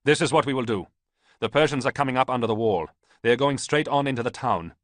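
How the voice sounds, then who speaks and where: A man's voice: medium pitch, quick pace, dry recording, voice pushed up, lightly acted. A man voicing a male military commander who bellows orders in battle. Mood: urgent.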